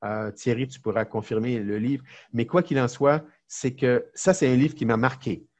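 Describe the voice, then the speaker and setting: A middle-aged man with a Québécois French accent, a lawyer and entrepreneur chatting as a podcast guest, telling lively stories with many fillers and restarts. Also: mid-range voice, moderate pace. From Quebec, Canada. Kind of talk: casual interview chat.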